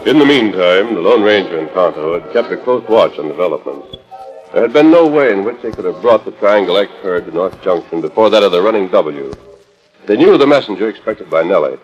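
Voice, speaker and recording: A man's voice: sits low, lively intonation, brisk. An American man narrating a western adventure serial in dramatic 1940s radio style. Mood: dramatic, earnest. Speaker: announcer and narrator. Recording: muffled 1940s radio transcription, music behind.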